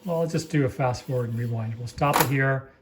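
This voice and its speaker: A man casually demonstrating equipment off the cuff, explaining it in a halting, apologetic, easygoing way as he handles it. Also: mid-range voice, background noise. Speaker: amateur reel-to-reel demonstrator. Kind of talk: casual unscripted product walkthrough.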